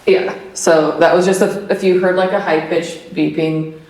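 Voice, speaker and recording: A woman's voice: on the low side, steady tone, moderate pace, darkish tone, a little hiss or hum. A young woman, a paranormal co-host, talking casually and explaining things plainly with many fillers. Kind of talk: casual chat on a ghost hunt. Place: old basement room with echo. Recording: echoey basement room.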